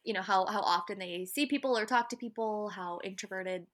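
A young woman from the Midwest with a higher voice, co-hosting a podcast and musing at length in casual chat. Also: a bit bright. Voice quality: higher-pitched voice. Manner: rambling, thoughtful, quick to laugh. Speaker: podcast co-host.

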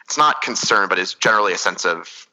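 Male podcast host, very low pitch and sharp tone, chatty soccer breakdown. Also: lively intonation, fast, low-quality recording. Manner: rambling, analytical, many restarts. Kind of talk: casual soccer analysis chat.

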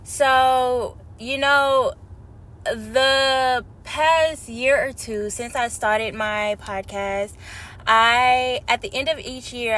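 A woman hosting her podcast in casual, slangy chat, a little hoarse from a cold. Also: fairly high pitch. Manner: animated, slangy and chatty. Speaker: podcast host. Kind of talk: casual spiritual podcast talk.

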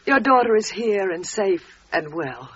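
An actress playing a devout, gentle older woman expecting her first child, in solemn, measured, reverent 1940s radio style. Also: medium pitch, big pitch swings, slightly rough. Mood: firm, calming. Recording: dull, roomy 1940s radio recording.

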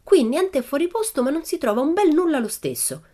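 A bold, boastful little girl bursting with cheer, as a woman puts her on, her voice pitched high and leaping up and down. She rattles off wild stories with breezy confidence in a storybook. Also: very animated, a little fast, dark tone. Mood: grumpy. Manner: brash, breezy, fanciful tall tales.

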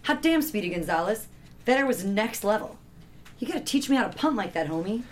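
A woman's voice: mid-range voice, expressive, brisk, natural voice. A woman playing a cocky, hostile teenage surfer boy at a table read, with taunting, slangy delivery. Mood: cocky, impressed.

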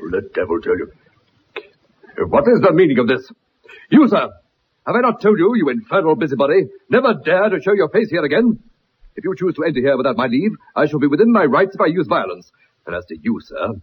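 An irascible old colonel for a vintage radio play. His delivery is imperious and blustering, with big swoops in pitch. The old recording is muffled and noisy. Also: very animated, a little fast. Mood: furious. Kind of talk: 1960s radio drama acting.

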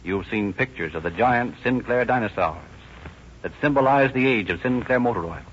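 Muffled vintage American radio announcer, male. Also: mid-range voice. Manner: formal, measured announcer delivery. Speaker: announcer and narrator. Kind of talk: 1930s radio narration and ad reading. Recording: muffled, noisy 1930s radio broadcast.

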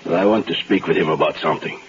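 A man's voice: low-pitched, fast talker, close to an everyday voice. Man playing proud barge captain, old radio. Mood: stern, suspicious. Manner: formal, earnest, non-native English grammar. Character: proud, law-abiding foreign barge captain, wronged husband. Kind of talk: 1970s radio drama acting. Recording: muffled, faintly noisy vintage radio recording.

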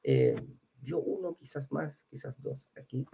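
Man, Chilean accent, live webinar host, chatty, informal, gravelly voice, animated intonation. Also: medium pitch, measured pace. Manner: chatty, informal, uses affectionate diminutives. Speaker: host of a live real estate investment class.

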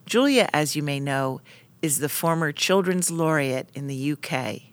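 A woman's voice: deep voice, animated, moderate pace. A woman hosting a podcast, giving scripted introductions and asking interview questions. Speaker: podcast host.

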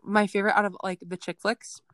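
A woman's voice: mid-range voice, flat delivery, fast talker, fairly bright tone, no room sound. Chatty Minnesotan woman, dry sound. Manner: chatty, giggly, trailing off with "like". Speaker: podcast co-host. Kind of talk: casual chat.

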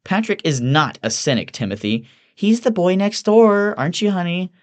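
Guy doing a whiny vain rich young woman for an audiobook, pitched way up, sighing and exasperated. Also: lively intonation, normal speed. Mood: defensive. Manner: breathy sighing whine, exasperated. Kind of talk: audiobook character voice.

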